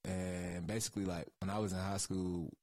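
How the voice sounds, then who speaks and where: Low-pitched man with an Atlanta accent. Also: little pitch movement, moderate pace, a little mellow, dry recording, clean studio sound. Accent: Atlanta, Southern US. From Georgia, USA, Atlanta area. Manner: slangy, profane, rambling storytelling. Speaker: guest, music creative. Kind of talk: casual interview chat.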